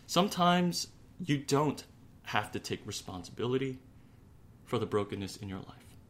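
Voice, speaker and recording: A man preaching a sermon as a pastor, speaking in a measured, earnest way with deliberate pauses and repetition. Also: mid-range voice, a bit bright.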